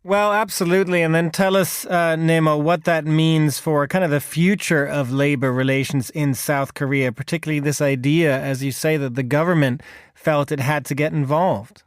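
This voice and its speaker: A male radio presenter with a fairly high voice and a polished delivery. He links the segments with smooth segues. The sound is dry and close to the mic. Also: fairly even intonation, normal speed, no room sound. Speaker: radio host.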